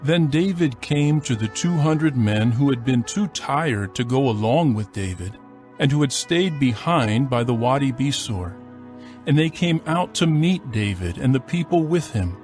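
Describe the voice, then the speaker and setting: Male narrator, medium pitch, solemn measured storytelling, scripted scripture reading, soft background music. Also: expressive.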